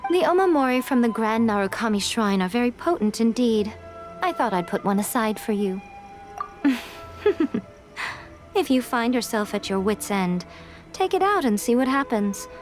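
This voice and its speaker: A woman's voice: on the high side, animated, normal speed, faint music behind, close to an everyday voice. A woman voicing a sly, elegant fox shrine maiden in a video game, poised, knowing and teasing.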